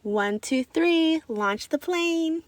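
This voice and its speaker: A woman voicing an excitable young boy who exclaims in short, repeated words for a storybook. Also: extremely high pitch, very slow, dry recording, pitched above the performer's own voice, exaggerated voice. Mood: singsong. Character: excitable young boy with simple, repetitive speech.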